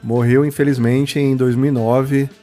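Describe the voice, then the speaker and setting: Brazilian man, podcast co-host, admiring, casual, mid pitch, faint music behind. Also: little pitch movement, moderate pace, warm tone. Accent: Brazilian Portuguese. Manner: admiring, restarts often, says "cara". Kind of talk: casual film podcast chat.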